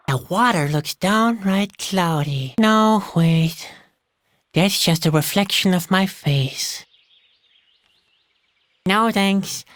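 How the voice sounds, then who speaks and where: An actor playing a gloomy, self-deprecating young clown in a video game, with a mopey, sarcastic manner. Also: male voice, sits high, fairly bright tone, dry recording. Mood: glum sarcasm.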